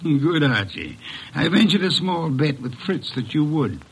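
Radio drama lead: imperious eccentric detective, formal and curt, slightly high, rough-edged voice. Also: male voice, sing-song, unhurried, exaggerated voice, rough recording. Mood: dry, commanding. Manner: pompous, formal, precise diction. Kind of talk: classic radio drama acting.

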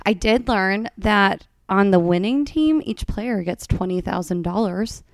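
A woman's voice: medium pitch, unhurried, dry recording, clean studio sound. Giggly young woman hosting a chat. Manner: playful, giggly, chatty. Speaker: podcast host. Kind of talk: casual chat.

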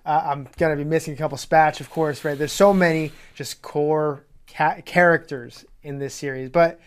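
A man with a fairly high, smooth and clear voice hosts a sports show. He talks in a casual, conversational way. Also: fairly even intonation, moderate pace. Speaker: podcast host.

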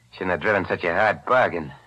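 Brash young gangster, forceful and tough, 1940s radio. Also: male voice, low-pitched, slightly rough, dull audio, exaggerated voice. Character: cocky young male crook on the run. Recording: vintage 1940s radio broadcast.

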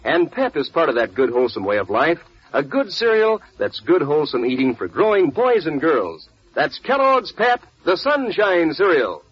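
A man's voice: a little high, wildly swooping pitch, moderate pace. A man announcing a 1940s radio commercial with punchy, emphatic salesman delivery. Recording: dull vintage radio recording, music.

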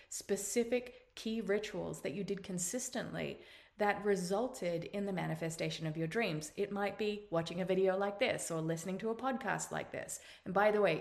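A woman in her forties with an Australian accent, hosting a motivational podcast in an earnest, encouraging tone and drawing out her phrases. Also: medium pitch. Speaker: podcast host. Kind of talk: motivational monologue.

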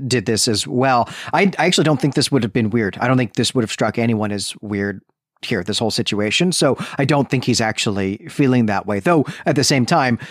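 Male co-host, medium pitch, casual literary chat with digressions, very dry recording. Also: no echo. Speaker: podcast co-host. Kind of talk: conversational book discussion.

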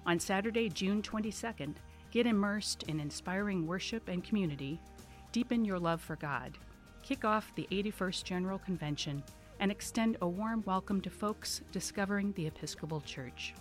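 A woman announcer reading a scripted event promotion in a warm, inviting way. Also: mid-range voice, moderate pace, soft background music.

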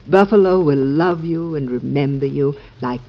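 Adoring, gentle president's wife, breathy delivery, dull vintage radio sound. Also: female voice, low voice, moderate pace. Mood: tender, admiring. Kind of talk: 1940s radio drama acting. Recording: muffled old radio recording.

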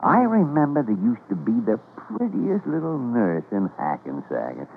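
A crusty older doctor in a vintage radio play, gruff and teasing. His gravelly voice swoops widely up and down, caught on a thin, hissy old broadcast recording. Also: mid-range voice, huge pitch swings, unhurried, phone-quality sound, heavily acted. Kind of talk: 1940s-50s radio drama acting.

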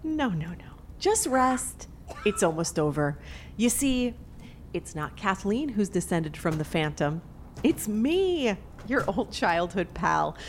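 Actress as a secretly murderous, slow-talking young woman. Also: medium pitch, big pitch swings, a little hiss or hum. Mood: cold, menacing. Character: secretly murderous woman feigning innocence among old childhood friends. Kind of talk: audio drama acting.

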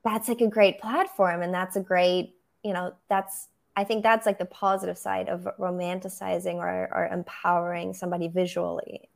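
A young photographer from Germany speaks English. She answers in a measured, reflective way, often pausing on "um" while she builds her points. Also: lowish voice, little pitch movement, vocal fry. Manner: thoughtful, measured, frequent "um". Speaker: photographer, podcast guest. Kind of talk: interview answers.

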